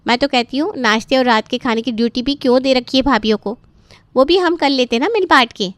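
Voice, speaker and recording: A woman's voice: high voice, fairly quick, no room sound, voice pushed up, lightly acted. A woman voicing a chirpy, cheeky grown-up daughter in a story, with a breezy, persuasive manner. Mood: breezy persuasion. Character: chirpy, cheeky adult daughter and sister-in-law.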